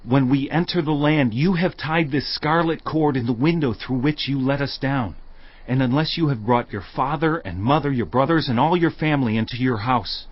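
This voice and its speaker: An actor playing a solemn, firm Israelite spy in a dramatized audio Bible, speaking in clear declarations. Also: male voice, mid-range voice, normal speed, lightly acted. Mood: stern, solemn. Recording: dry, slightly dull audio.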